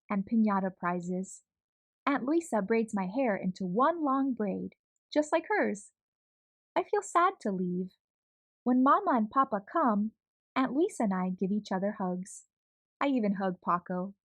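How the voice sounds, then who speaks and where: A woman reading a children's picture book aloud with an expressive storytelling manner. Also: mid-range voice, unhurried.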